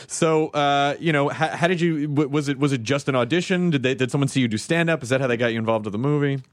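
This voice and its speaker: A man hosting a podcast in casual chat, joking with quick asides and casual swearing. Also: medium pitch, no room sound, studio quality. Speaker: podcast host.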